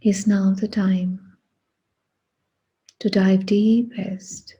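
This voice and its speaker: A woman leads a guided meditation at a very slow pace, leaving long pauses between short phrases. Her voice is very husky and breathy, with a soft, mellow tone. The delivery is hushed and deliberate. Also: medium pitch, level tone, lo-fi sound.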